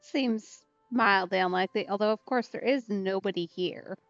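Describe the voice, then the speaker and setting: A woman's voice: mid-range voice, moderate pace, creaky, no room sound, lo-fi sound. A woman live-streaming a game, giving casual, understated, giggly commentary. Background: faint game music.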